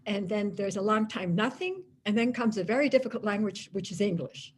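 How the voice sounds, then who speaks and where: A woman's voice: medium pitch, expressive, a little fast, slight background noise. A woman with a Dutch accent, Dutch her first language, giving a thoughtful lecture-style talk with many fillers and restarts. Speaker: guest lecturer.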